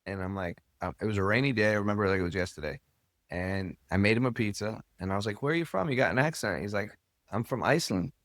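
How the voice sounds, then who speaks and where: A man's voice: lowish voice, fast talker, a bit bright, no room sound, studio quality. An American man talking candidly and colloquially as a podcast guest, telling stories casually and swearing now and then. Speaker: podcast guest, soccer club owner and coach. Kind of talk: casual storytelling in an interview.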